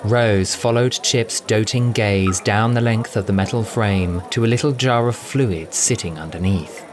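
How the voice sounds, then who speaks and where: A man's voice: on the low side, unhurried, crowd noise. A man giving scripted, dramatic narration of a science fiction audio adventure.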